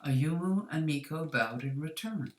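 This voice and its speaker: A woman's voice: deep voice, animated, measured pace, roomy sound. A woman author reading her own story aloud as scripted narration.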